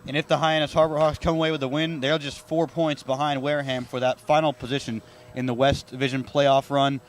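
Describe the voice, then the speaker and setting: A man's voice: mid-range voice, level tone, brisk. A man hosting a sports radio broadcast, doing pregame talk and play-by-play commentary.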